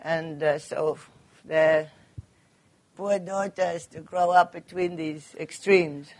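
Slow, halting woman artist lecturing. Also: deep voice, fairly even intonation. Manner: halting, many fillers and restarts. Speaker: artist giving a talk. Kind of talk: hesitant lecture on her paintings.

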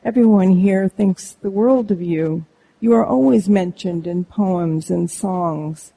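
Woman doing a curious, earnest storybook girl, warm, slightly gravelly. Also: on the low side, slow pace, full character voice, rough recording.

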